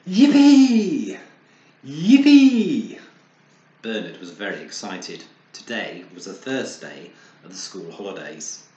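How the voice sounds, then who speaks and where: Guy reading a kids story, slow n animated, dramatic pauses, lowish voice, echoey room. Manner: dramatic pauses and sound words. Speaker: children's storyteller and author. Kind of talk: expressive children's story reading. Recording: roomy echo, faint background noise.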